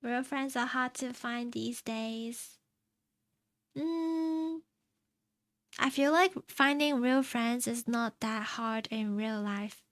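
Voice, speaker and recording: A young woman from China chatting casually on stream, answering her chat in a soft, rambling way and laughing often. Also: fairly high pitch, slow, dry recording. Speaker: VTuber streamer. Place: home streaming setup.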